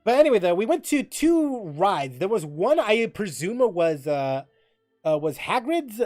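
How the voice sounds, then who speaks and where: A man hosting a livestream in casual, rambling chat, often halting to repeat and rephrase himself mid-sentence. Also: sits high, big pitch swings, a little mellow, faint music behind. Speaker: livestream host.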